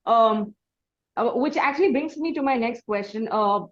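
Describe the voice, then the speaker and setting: Higher-voiced Indian woman interviewer, slightly dull audio. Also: moderate pace. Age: 30s. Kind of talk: conversational interview questioning.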